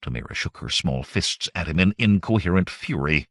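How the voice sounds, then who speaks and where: A man reading adventure fiction aloud as an audiobook narrator, in measured, expressive storytelling. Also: sits low, dry recording, clean studio sound.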